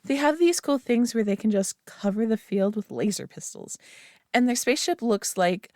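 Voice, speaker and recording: A woman's voice: a little high, animated, moderate pace, a little mellow, no echo, clean studio sound. A young woman voicing a nerdy friend who rambles eagerly about her tabletop hobby, full of "like" and giggles, in a scripted roleplay. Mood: rambling eagerly. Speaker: voice actor in an audio roleplay. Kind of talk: scripted roleplay monologue to the listener.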